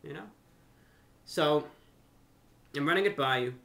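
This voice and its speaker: A man's voice: fairly high pitch, measured pace, vocal fry, a bit bright. A young American man giving a casual, conversational monologue to camera, earnest and repeating phrases for emphasis. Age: young adult. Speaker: host of a video channel and radio show. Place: furnished room at home.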